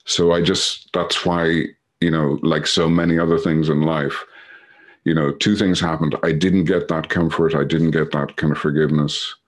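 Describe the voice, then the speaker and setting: Irish man, middle-aged, extremely low voice, rambling, dry humor, video call. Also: flat delivery, moderate pace. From Ireland. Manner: rambling, many fillers, dry humor, swears a bit. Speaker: recovery meeting speaker. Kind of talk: casual personal sharing on a video call.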